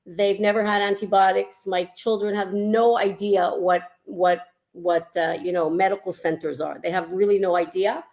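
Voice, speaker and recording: A woman's voice: mid-range voice, fairly even intonation, moderate pace, hardly any pauses, a little mellow. Older Canadian woman on a video call. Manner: enthusiastic, storytelling, repeats words for emphasis. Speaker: homeopath guest. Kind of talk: casual chat.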